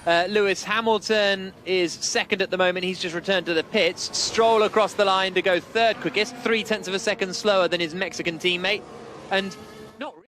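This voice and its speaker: A man's voice: sits high, steady tone, normal speed, bright, forceful tone, a little hiss or hum. A man working as lead commentator, calling a motorsport session live on radio.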